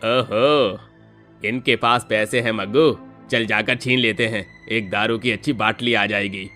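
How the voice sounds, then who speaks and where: A woman's voice: very deep voice, steady tone, a little slow, fairly bright tone, faint music behind, voice pushed down. A woman voicing a menacing, greedy male thief in dramatized storybook dialogue. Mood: greedy, scheming.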